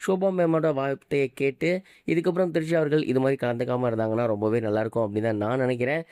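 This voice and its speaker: A man delivers online news commentary at a brisk pace. His voice is smooth and a little soft-toned, recorded close and dry. He mixes English words into his scripted delivery. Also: medium pitch, steady tone, no room sound. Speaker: online gossip news presenter.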